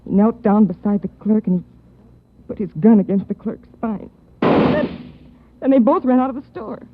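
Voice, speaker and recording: An actress playing a shaken young woman recalling a crime she witnessed in a 1940s radio drama, halting and stammering. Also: medium pitch, animated, noisy background, lightly acted. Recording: thin phone-like 1940s radio.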